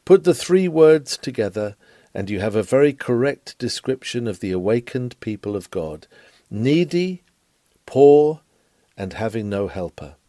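A man's voice: medium pitch, very animated, measured pace, mellow, no echo. A man reading an old sermon aloud in an earnest, rhetorical and emphatic way. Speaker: sermon reader.

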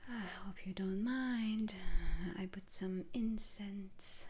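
A woman playing a soothing, faintly sly witch doctor in an ASMR roleplay, speaking in a breathy, halting whisper. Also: mid-range voice, fairly even intonation, a little mellow. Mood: musing, sly.

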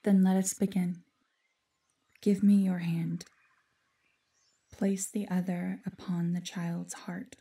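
Woman playing a solemn, slow-spoken forest guardian spirit. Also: on the low side, flat delivery, dark tone, distant mic. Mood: grave, instructing. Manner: measured, formal, breathy half-whispers. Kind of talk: fantasy audio roleplay drama acting.

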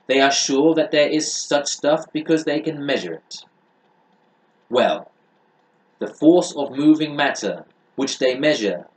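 A man's voice: fairly high pitch, low-quality recording. A young man reading a book aloud in a declamatory, emphatic manner, recorded in a small room. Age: young adult. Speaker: reader.

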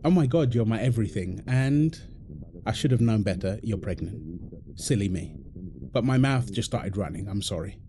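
A man's voice: fairly low pitch, lively intonation, normal speed, background noise, lightly acted. A man playing a playful, doting husband in an intimate audio roleplay, teasing and affectionate, full of exclamations. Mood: tender, apologetic. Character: playful, doting husband apologizing to his pregnant wife.

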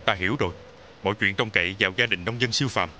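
A man's voice: fairly low pitch, very fast, bright, forceful tone, a little hiss or hum. A man playing a dignified, trusting planetary leader in a children's cartoon, with a formal, earnest manner. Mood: grateful. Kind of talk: animated cartoon dubbing.

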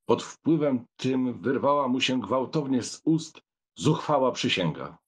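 A man reads a novel as narrator in a dramatic storytelling style. His mid-pitched voice has a husky grain and moves through a lively, wide melody. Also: a little slow.

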